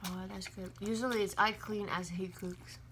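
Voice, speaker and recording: Woman from Finland chatting over clear noise. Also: mid-range voice, steady tone, normal speed, a bit bright. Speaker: mukbang vlogger. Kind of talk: casual chat while eating. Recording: noisy room sound behind the voice.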